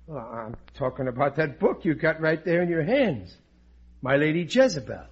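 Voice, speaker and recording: A man's voice: a little high, sing-song, normal speed, slightly rough, dull audio. An actor playing a naive, blustering working-class family man, stammering and ungrammatical in 1950s radio sitcom style. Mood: defensive. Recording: noisy old radio show recording.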